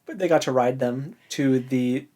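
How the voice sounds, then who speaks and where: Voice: medium pitch, normal speed, dark tone. Informal, wry podcast co-host chatting about books. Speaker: book discussion podcast co-host. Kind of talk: casual chat, commenting on a novel.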